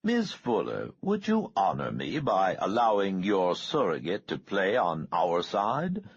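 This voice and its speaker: A man voicing a courtly, smooth-talking male billionaire with an oily, polite manner. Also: low voice, huge pitch swings, measured pace, lo-fi sound, exaggerated voice.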